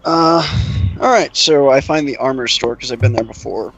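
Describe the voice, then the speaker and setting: A man's voice: medium pitch, normal speed, a bit bright, muffled recording. A man playing a tabletop role-playing game, speaking casually and hesitantly. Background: background music.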